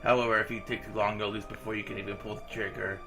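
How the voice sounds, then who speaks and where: A man's voice: lowish voice, level tone, quick pace. A man reading visual novel dialogue aloud as a game streamer, in a casual, informal manner. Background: visual novel game music.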